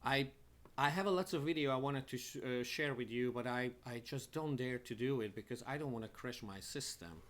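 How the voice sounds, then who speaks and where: A man hosting a live show from his home studio, talking haltingly and restarting as he sorts out his setup. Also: mid-range voice, a bit bright. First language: Hungarian. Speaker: host of a live online show. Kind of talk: casual live-stream talk to viewers.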